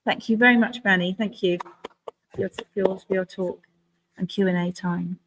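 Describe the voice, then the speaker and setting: A woman's voice: mid-range voice, fairly even intonation, moderate pace, slight background noise, poor audio. A woman hosting a speaker evening, talking in a chatty, loose way with plenty of "um" and "kind of". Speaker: event host. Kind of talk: casual event hosting.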